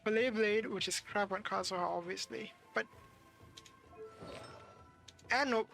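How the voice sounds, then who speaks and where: A game streamer giving casual livestream commentary in a creaky voice, grumbling, swearing and thinking aloud. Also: medium pitch, measured pace, fairly bright tone, faint music behind.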